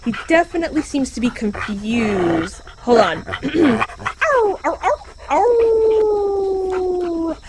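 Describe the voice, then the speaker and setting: A woman's voice: very high voice, animated, very slow, very noisy, full character voice. A woman voicing an easygoing shapeshifting dog creature who explains things matter-of-factly in an audio drama. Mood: helpful, unbothered. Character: shapeshifting dog creature, easygoing, helpful park navigator and translator. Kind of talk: fiction podcast audio drama acting.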